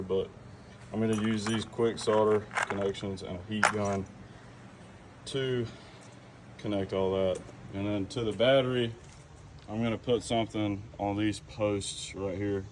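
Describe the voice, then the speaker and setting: A man with a lowish voice talks through a do-it-yourself job. His delivery is folksy and unhurried in attitude, as if thinking aloud while working. The recording is made outdoors, with faint ambient noise behind the voice. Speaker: vlogger doing a DIY car project. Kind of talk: casual how-to vlog chat. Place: outdoors at a vehicle, some wind.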